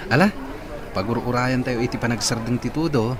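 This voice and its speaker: An actor as an easygoing young man with a mid-pitched voice. He is courteous and generous in an amateur audio drama, over clearly noisy ambience. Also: brisk, close to an everyday voice. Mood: friendly, generous.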